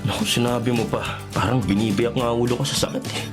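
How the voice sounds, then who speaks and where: An actress playing a grumbling, defensive person in their twenties in a radio drama, casual and a little whiny. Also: female voice, a bass voice, steady tone, brisk. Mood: groggy, complaining. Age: 20s. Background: music.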